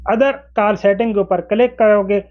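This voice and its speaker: A man's voice: sits high, fairly even intonation, a little slow, highs cut off. A man in his forties explaining phone settings step by step to camera in an instructional manner. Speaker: tech tutorial presenter. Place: small indoor room with desk.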